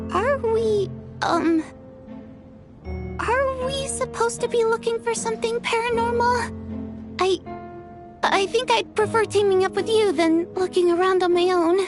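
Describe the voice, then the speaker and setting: An actress playing a timid, polite young woman who works at a theater, with a hesitant, stammering delivery in a video game. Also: very high voice.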